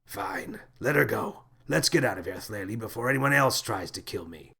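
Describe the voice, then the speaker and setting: A man's voice: on the low side, lively intonation, moderate pace, gravelly, sharp tone, slightly rough, exaggerated voice. Man playing a stern, arrogant space captain. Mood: grudging. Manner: stern, scowling, suspicious. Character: prideful, impulsive male space captain. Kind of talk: audio story character voice.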